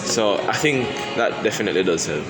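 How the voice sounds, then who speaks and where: A man's voice: fairly low pitch, lively intonation, fairly quick, poor audio. A Jamaican man in his twenties talking candidly and reflectively as a guest in a conversational interview, often repeating his phrases. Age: 20s. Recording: loud music under the voice. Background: music.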